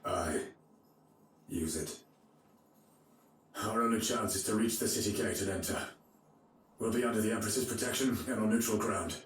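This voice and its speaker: An actor playing a righteous, resolute knight lord, earnest and commanding, in a game cutscene. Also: male voice, fairly low pitch, normal speed, pauses often, a little hiss or hum, close to an everyday voice. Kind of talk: dramatic video game cutscene acting. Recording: distant, very echoey, faint music behind.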